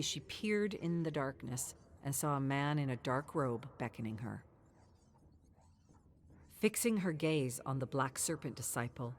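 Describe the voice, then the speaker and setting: Low-voiced woman narrating dark lore. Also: a little hiss or hum.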